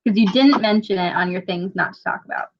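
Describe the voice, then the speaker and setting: A young woman hosting a casual interview, chatty, teasing and quick to laugh. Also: mid-range voice. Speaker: interview host. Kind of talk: casual video-call interview. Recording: muffled lo-fi video call audio.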